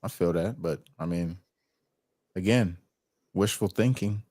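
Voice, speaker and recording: A man with an American accent talks casually on a livestream. His voice sits fairly low and his phrasing is streetwise and full of slang. The sound is very dry, with no room on it. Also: measured pace, darkish tone, dry recording. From United States. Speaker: livestream host. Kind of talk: casual livestream political chat.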